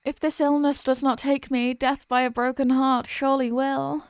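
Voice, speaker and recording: Woman voicing a theatrical high-voiced vampire girlfriend. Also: little pitch movement, moderate pace, smooth, no room sound. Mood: mock wounded. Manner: theatrical, pleading, mock-tragic. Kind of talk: scripted roleplay audio monologue.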